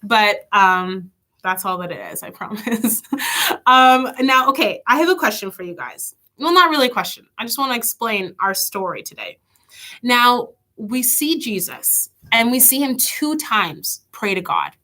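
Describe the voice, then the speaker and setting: A woman's voice: a little high, big pitch swings, measured pace, pushed and bright. A woman leading an online children's church lesson, chatty and encouraging, with a stuffy nose from allergies. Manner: chatty, encouraging, reads out kids' comments.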